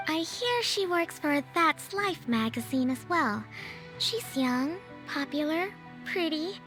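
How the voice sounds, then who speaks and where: A woman's voice: high-pitched, a bit bright, exaggerated voice. An actress playing a poised, reassuring noblewoman leader in a video game, composed and polite. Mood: playful.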